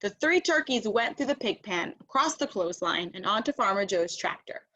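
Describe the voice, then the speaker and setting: A woman reading a children's storybook aloud as narrator, expressive and emphatic. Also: mid-range voice, moderate pace, poor audio. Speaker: storybook reader.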